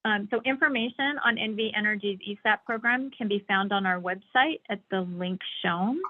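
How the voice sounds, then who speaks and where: A woman's voice: mid-range voice, moderate pace. A woman giving a slide presentation on a conference call over a thin, tinny phone line. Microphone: phone or online call.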